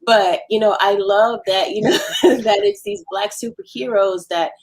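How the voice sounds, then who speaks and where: A woman's voice: mid-range voice, unhurried. A woman answering interview questions in a casual, explanatory storytelling way. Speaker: playwright and actor, interview guest.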